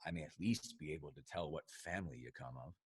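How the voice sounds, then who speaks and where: An actor playing a bold, amorous young English rover captain in a classical stage comedy, with a slightly husky voice and a theatrical swagger. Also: male voice, very deep voice, fast talker. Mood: ardent.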